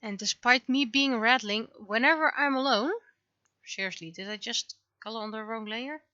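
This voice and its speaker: Bright, high-voiced woman livestreamer. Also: moderate pace, lo-fi sound. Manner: rambling, thinking aloud, acts out remembered exchanges. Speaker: livestreamer, webcomic artist. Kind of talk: casual livestream chat while drawing.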